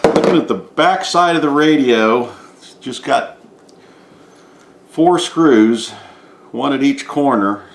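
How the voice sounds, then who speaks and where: A man's voice: medium pitch, animated, takes it slowly, pauses often. Man narrating a repair in an echoey room. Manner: methodical, step-by-step. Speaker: DIY repair presenter. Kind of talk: hands-on repair demonstration, unscripted narration.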